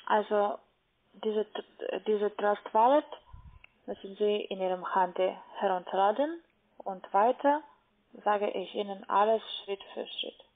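Female call centre agent, non-native speaker, halting delivery, medium pitch, narrowband phone sound. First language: not German. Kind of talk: phone sales call, step-by-step instructions. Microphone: telephone. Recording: muffled narrowband phone line.

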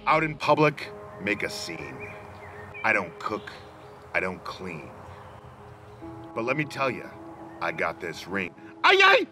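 A man recites rap lyrics with theatrical emphasis in a low voice. His pitch moves around in a very animated way. Music is clearly heard behind him. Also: slow pace, pauses often. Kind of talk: mock-dramatic reading of rap lyrics. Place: outdoors among trees. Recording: music under the voice.

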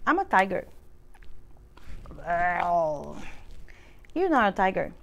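A middle-aged woman reading a children's picture book aloud, expressive and deliberate, as if for small children. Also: lowish voice, vocal fry, lo-fi sound. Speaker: children's librarian, storytime reader. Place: library room with bookshelves.

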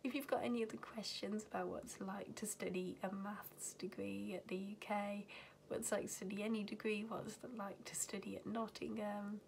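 A young woman from the UK, a university student, chatting to camera in her bedroom, her voice raspy from being ill and often half-whispered. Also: mid-range voice, little pitch movement, moderate pace, slight background noise. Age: young adult. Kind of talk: casual chat to camera. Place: bedroom at home, indoors.

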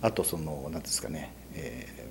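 A man's voice: extremely low pitch, normal speed, creaky. A middle-aged man from Akita, Japan, chatting modestly and casually as an interview guest. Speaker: interview guest, company president. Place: indoor office, seated at a table. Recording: room recording with background noise.

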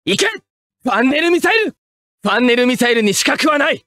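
A man playing a proud, hot-headed young mecha pilot, barking short forceful attack calls in an anime fighting game. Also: extremely high pitch, lively intonation, fairly quick, no echo, exaggerated voice. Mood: fierce.

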